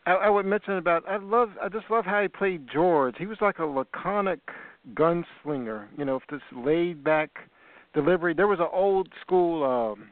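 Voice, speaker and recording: A man's voice: high-pitched, moderate pace, no echo. A man hosting a call-in talk show and interviewing guests in an effusive, enthusiastic way. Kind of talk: casual radio interview. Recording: tinny phone line, dry.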